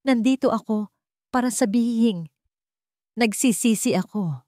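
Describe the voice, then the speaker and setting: A woman's voice: a little high, measured pace, warm tone, no echo, studio quality, pitched above the performer's own voice, natural voice. A woman voicing a remorseful wealthy man in a romance story, pleading and confessing dramatically.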